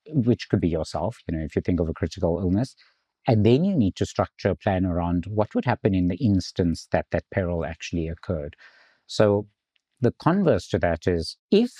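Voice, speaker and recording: A man from South Africa, an insurance executive, explaining finance in a measured way in an interview. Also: low-pitched, dark tone, breathy edge, no echo. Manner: measured, explanatory, some restarts.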